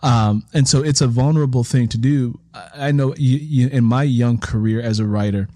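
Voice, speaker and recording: A man's voice: mid-range voice, moderate pace, dark tone, no echo, studio quality. A man interviewing as a fellow writer, explaining his thoughts in a reflective, conversational way and circling back over phrases.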